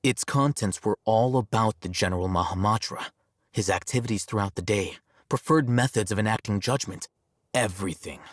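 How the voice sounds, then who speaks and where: Man playing an aloof, low-voiced young scholar. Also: no room sound, lightly acted. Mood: explanatory, grave. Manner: measured, detached, matter-of-fact. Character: aloof, coolly logical young male scholar. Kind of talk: video game character dialogue.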